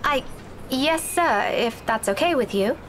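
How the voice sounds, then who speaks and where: A studious young woman with a fairly high voice. She speaks deferentially and with slight hesitation, as a visual novel game character, over a faintly noisy recording. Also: lively intonation, a little slow, a bit bright. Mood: hesitant, polite. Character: respectful, studious young woman, former student of professor. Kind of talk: video game voice acting.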